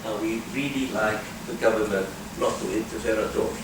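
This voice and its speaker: A man with an Icelandic accent giving a conference talk, halting with frequent filler pauses. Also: on the low side, steady tone, noisy background. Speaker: conference speaker. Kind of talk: workshop talk. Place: conference hall. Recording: echoey hall, distant mic.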